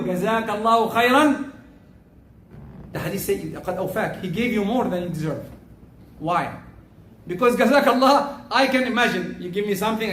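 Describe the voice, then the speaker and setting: A man giving a Friday sermon as khatib, loud and emphatic, stressing words as he preaches. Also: sits high, a little hiss or hum. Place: mosque prayer hall. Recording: echoey hall, mic from far off.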